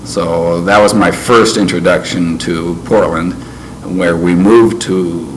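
An older man who grew up in Canada, recalling his past in an interview in a measured, reflective way with frequent pauses. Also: deep voice, fairly even intonation. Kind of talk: oral history interview. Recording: noisy background ambience.

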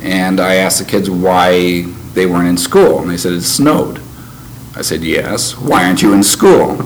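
An older man who grew up in Canada, recalling his past in an interview in a measured, reflective way with frequent pauses. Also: low-pitched. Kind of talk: oral history interview. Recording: noisy background ambience.

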